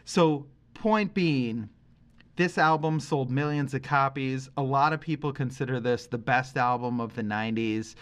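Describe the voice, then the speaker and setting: Male podcast host, forties, average pitch. Conversational music talk, opinionated and emphatic, wanders through his points. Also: a little slow, smooth. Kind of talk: casual conversational music discussion.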